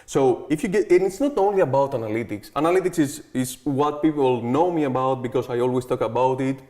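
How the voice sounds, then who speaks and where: A man's voice: mid-range voice, brisk, warm tone. A man in his thirties with a Greek accent, a guest in an interview, talking eagerly and confidently in a loose, chatty way. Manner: eager, confident, keeps adding "okay" and "like". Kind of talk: casual interview talk.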